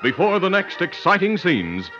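A man's voice: high-pitched, sing-song, moderate pace. A man narrating a scripted old-time radio western adventure in a dramatic announcer's delivery. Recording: dull old radio recording with music.

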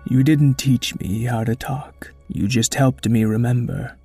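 A man doing a slow, grave old triceratops. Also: mid-range voice, steady tone, dark tone, breathy edge, no room sound, faint music behind. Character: old wise male triceratops, calm and weary.

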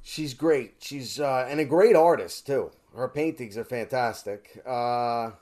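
A man's voice: medium pitch, measured pace, a little mellow. A young man with a Brooklyn accent, an actor chatting as a guest with rambling fillers and restarts. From Brooklyn, New York. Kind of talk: casual interview chat.

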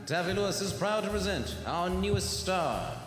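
An actor playing a showy nightclub master of ceremonies making a stage announcement in a 1940s-style radio drama. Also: male voice, high-pitched, sing-song, normal speed. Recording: echoey hall PA with music.

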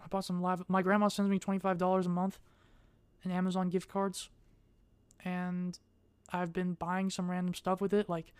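A young adult woman vlogging in a casual, rambling way. She speaks in a monotone and hesitates often, with many fillers. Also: on the low side, clear, resonant tone, dry recording.

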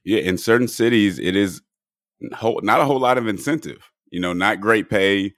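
A man hosting a podcast in relaxed conversational talk, his voice a little hoarse and cracking. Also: on the low side, normal speed, no echo. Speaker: podcast host. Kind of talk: casual podcast chat.

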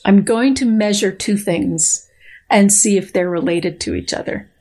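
A woman's voice: mid-range voice, unhurried, darkish tone. Precise, playful middle-aged woman explaining science. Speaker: guest scientist reading a research paper. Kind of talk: dramatic reading and explanatory conversation.

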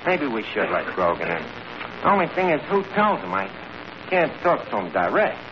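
An actor with a New York accent playing a brash, scheming tavern manager in a radio comedy, wisecracking and mangling big words with mock pomp. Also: male voice, mid-range voice, sing-song, moderate pace, lightly acted. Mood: indignant. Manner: wisecracking, mock-pompous explanations full of malapropisms. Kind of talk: 1940s radio comedy acting. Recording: noisy, muffled old radio recording.

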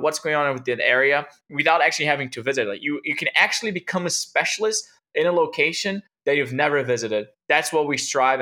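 A man's voice: on the high side, bright, forceful tone. A man from Brazil, a tech founder and guest in an interview, explaining in a rambling way with lots of "like" and restarts. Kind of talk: casual interview talk.

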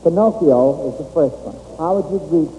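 An American man hosting an old-time radio children's quiz in a folksy, halting, encouraging way. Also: fairly high pitch, warm tone. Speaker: quizmaster of a children's quiz show. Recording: old radio, muffled, hissy, reverberant hall.

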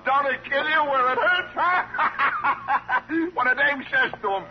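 An actor playing a brash, desperately jokey small-time comedian in an old radio drama, with pushy, showy, sardonic patter. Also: male voice, very high voice, unhurried. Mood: manic, hilarious. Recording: muffled, noisy vintage radio recording.

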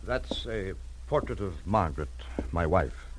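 An actor playing a grieving, dignified middle-aged widower in a 1950s radio drama, husky and halting. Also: male voice, sits low, animated. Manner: halting, stammering.

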